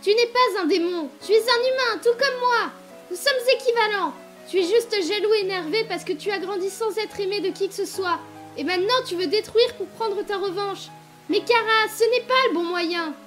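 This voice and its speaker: High-voiced earnest young boy, played by a woman. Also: moderate pace, soft background music. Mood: earnest, insistent. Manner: earnest, soft-hearted, insistent. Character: gentle, stubborn, pleading young human boy. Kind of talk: dramatic comic fan-dub acting.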